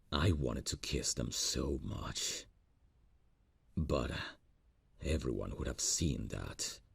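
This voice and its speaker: A man voicing a gentle, earnest boss in an ASMR roleplay, talking softly and slowly into a close mic. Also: no echo, full character voice. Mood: wistful. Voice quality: deep gravelly voice. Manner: soft, intimate, slow and earnest.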